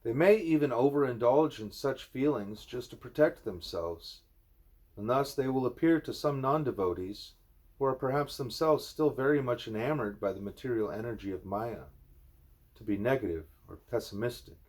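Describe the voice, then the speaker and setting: A man reads a spiritual letter aloud at home, steady and earnest. His voice sits at an ordinary middle pitch, and he lets deliberate pauses fall between phrases. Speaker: reader of a spiritual book.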